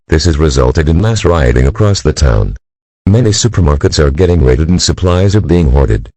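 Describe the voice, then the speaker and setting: A man's voice: extremely low pitch, a little mellow, dry recording, full character voice. A man playing an uneasy TV news anchor delivering a scripted newscast about a disaster.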